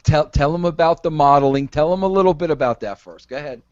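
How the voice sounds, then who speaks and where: A man's voice: fairly high pitch, fast talker, clear, resonant tone, dry recording, highs cut off. A man in his fifties hosting a podcast, coaxing and pushy, stumbling over his words and repeating himself. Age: 50s. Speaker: podcast host. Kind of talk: casual podcast chat.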